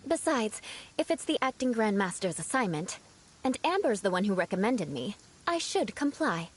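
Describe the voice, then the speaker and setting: A woman's voice: mid-range voice, big pitch swings, normal speed, breathy edge. A woman playing a proud, vengeful young aristocratic swordswoman in a video game, speaking formally and theatrically. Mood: composed, poised.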